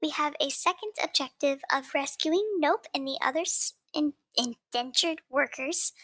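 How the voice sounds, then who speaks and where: High, bright, gravelly woman player. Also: normal speed, no echo. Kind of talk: tabletop game table talk.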